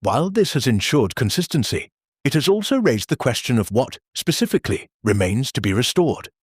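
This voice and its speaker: An actor playing an earnest, formal church meeting chairman who speaks in a measured, bureaucratic deadpan. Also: medium pitch, husky, no room sound, studio quality. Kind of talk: audio drama acting.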